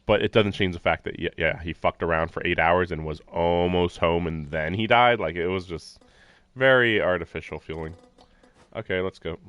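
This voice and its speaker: A man's voice: sits low, normal speed, a bit bright, clear, resonant tone, no room sound, highs cut off. A man live streaming a visual novel, reading its text aloud with casual, informal commentary. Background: faint game music.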